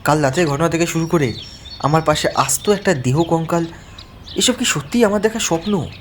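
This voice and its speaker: A man's voice: on the high side, normal speed, a little hiss or hum, natural voice. A man playing a frightened young man who tells a horror story in dramatic, suspenseful first-person narration. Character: frightened young man recounting his haunted-house visit. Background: music.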